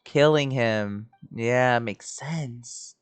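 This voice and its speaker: A man's voice: mid-range voice, very slow, smooth, no room sound. A man giving casual, profane gameplay reactions, blunt and exclamatory like a streamer.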